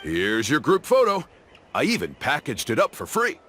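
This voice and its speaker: A man's voice: mid-range voice, wildly swooping pitch, moderate pace, a bit bright, a little hiss or hum. A man playing a shady, self-important tourist scammer in a video game, with a theatrical salesman's manner. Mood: slick, salesy. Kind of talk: video game character dialogue. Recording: music behind the dialogue. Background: game music.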